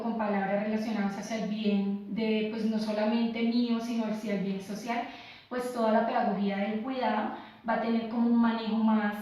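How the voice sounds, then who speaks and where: Woman teacher in an echoey room, slightly high voice. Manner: steady explanation with frequent filler "pues". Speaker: teacher, preschool education graduate. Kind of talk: academic panel discussion. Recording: echoey room, far from the mic.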